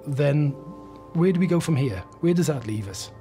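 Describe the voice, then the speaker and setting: A man's voice: a little high, lively intonation, normal speed, mellow, soft background music. A man giving a documentary interview, explaining history in a storytelling way with occasional restarts.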